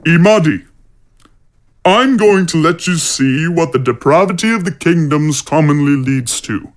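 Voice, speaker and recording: Menacing male zealot warrior, played by a man, slightly high voice, slow deliberate delivery, formal fantasy drama. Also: animated. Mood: coldly threatening. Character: stern male zealot warrior, menacing, self-righteous older brother. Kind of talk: audio fantasy drama acting.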